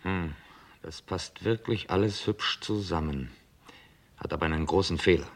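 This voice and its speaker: An actor playing a sceptical, deliberate police chief inspector in a 1960s German radio crime drama. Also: male voice, low voice.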